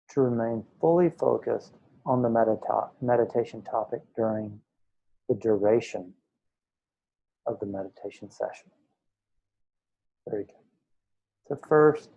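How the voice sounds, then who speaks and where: Man leading a slow guided meditation, muffled recording. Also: mid-range voice, mellow. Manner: unhurried, pausing between short phrases. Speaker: meditation teacher.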